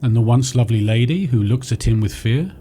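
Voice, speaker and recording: An actor playing a lost gentleman traveller in a radio drama, formal, polite and theatrical. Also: mid-range voice, a little fast, close to an everyday voice. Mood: polite, uneasy. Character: lost gentleman traveller, well-mannered, excitable sceptic. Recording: clear background noise.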